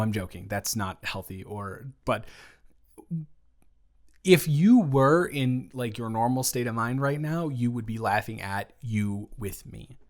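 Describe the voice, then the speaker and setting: A man's voice: mid-range voice, lightly acted. A young man playing the listener's teasing, caring best friend in an improvised audio roleplay, rambling and correcting himself as he talks.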